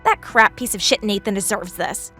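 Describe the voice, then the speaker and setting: A woman's voice: mid-range voice, normal speed, pushed and bright, natural voice. An actress playing a loyal, scheming woman manager and friend in an audio drama. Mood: supportive, conspiratorial. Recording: music behind the voice.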